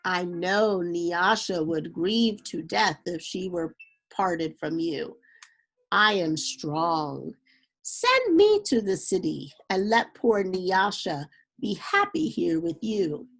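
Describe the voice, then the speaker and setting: A woman's voice: lowish voice, very animated, slow pace, fairly bright tone, full character voice. A woman voicing a selfish, haughty young daughter in a storybook, falsely sweet or imperious.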